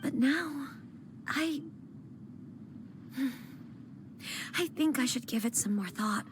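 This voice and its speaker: A woman voicing a gentle young noble lady who speaks hesitantly with soft sighs in a game. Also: high voice, expressive, slow, noisy background. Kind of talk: video game voice acting. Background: game music.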